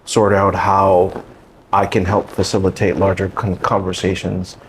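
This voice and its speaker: A man speaking as a guest educator in a reflective interview, with measured, deliberate phrasing. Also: deep voice, completely flat delivery, a little hiss or hum, poor audio. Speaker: guest performing arts educator.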